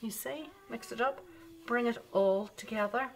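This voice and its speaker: An older woman walks children through a recipe in her home kitchen. Her voice sits a little high, and she keeps asking the viewers questions. There is a faint hiss from a simple webcam mic. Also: normal speed, poor audio. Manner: chatty, talks directly to children, coaxing questions. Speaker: baking show host. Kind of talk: children's cooking demonstration.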